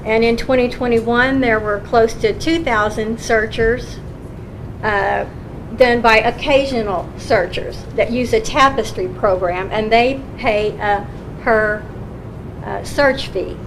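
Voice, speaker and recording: A woman's voice: fairly high pitch, takes it slowly. A woman, a county recorder, earnestly explaining her office's work to a county council in a meeting room. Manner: earnest, explaining patiently with restarts. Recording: public meeting recording with steady hum.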